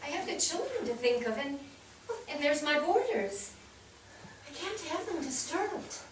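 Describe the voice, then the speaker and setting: A woman playing a burdened, firm nineteenth-century wife and mother in a staged reading, speaking in a measured way. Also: sits high, big pitch swings. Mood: measured, guarded. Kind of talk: staged reading of a period drama. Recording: echoey theatre, distant mic, faint noise.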